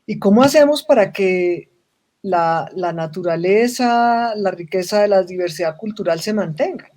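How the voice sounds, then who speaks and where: A woman's voice: medium pitch, normal speed, a little mellow. A Colombian woman speaking as a webinar guest in a casual, reflective way, often restarting phrases and ending them with tag questions. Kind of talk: casual interview conversation.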